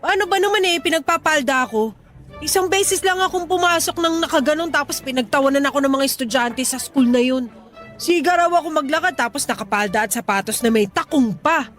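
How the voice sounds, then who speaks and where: A woman's voice: high-pitched, lively intonation, fairly quick, fairly bright tone, soft background music. A woman playing a blunt, joking tomboyish young mechanic in a radio drama. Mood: bantering.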